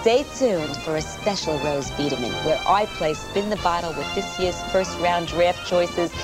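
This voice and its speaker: A woman's voice: fairly low pitch, moderate pace, lightly acted. An actress playing a gushing, self-promoting woman sports presenter in a coy parody TV promo. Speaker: TV sports host. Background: music.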